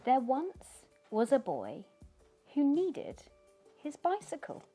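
A woman's voice: high-pitched, huge pitch swings, dark tone, slightly rough, soft background music. A middle-aged British woman reading a picture book aloud to children in a living room, asking them questions as she goes. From United Kingdom. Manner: slow, sing-song storytelling with questions to young listeners.

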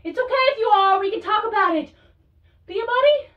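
A woman's voice: extremely high pitch, brisk, distant mic, lo-fi sound. A woman voicing a young woman detective trapped in a tomb, scared and pleading, joking nervously. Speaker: game protagonist. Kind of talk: video game voice acting.

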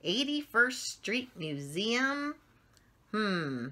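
A middle-aged woman reading a story aloud for children, expressively and with drawn-out emphasis on words. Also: mid-range voice, very animated, pushed and bright. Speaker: children's story channel host reading picture books aloud. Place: small furnished room at home, indoors.

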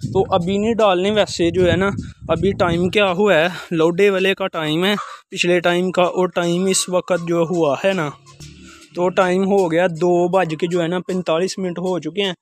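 A young man with a fairly high voice chats his way through farm chores outdoors, talking straight to his viewers. He leans on the same filler phrases again and again. The sound comes through a phone held at arm's length. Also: level tone, normal speed, faint music behind. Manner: chatty, repeats filler phrases, addresses viewers as guys. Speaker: village life vlogger. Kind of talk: casual walkthrough narration. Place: outdoor village courtyard with poultry.

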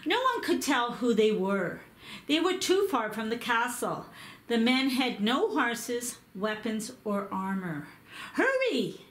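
A woman reading a picture book aloud, slow and careful in her storytelling. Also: on the high side, animated. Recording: echoey room.

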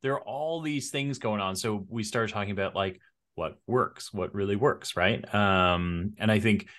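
A man's voice: fairly low pitch, a little slow. A middle-aged man co-hosting a podcast, chatting casually over a video call from a home studio with a studio mic. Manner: relaxed, conversational, reads sponsor spots. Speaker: podcast co-host. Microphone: studio mic on an arm.

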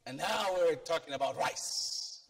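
A middle-aged man with a Filipino accent preaches an animated sermon, pacing the stage and putting questions to the congregation. His voice sits high for a man. It rings out with the long reverb of a church sanctuary, picked up by a stand mic he keeps moving away from. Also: a little slow. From Philippines.

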